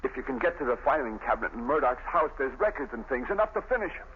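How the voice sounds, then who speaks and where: Brief: actor voicing a streetwise doomed young con, tinny hissy vintage radio. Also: male voice, medium pitch, very animated, fast, natural voice. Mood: desperate. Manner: streetwise, tough-talking. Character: Dan Malley, doomed young male convict, tough. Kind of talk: 1930s radio drama acting.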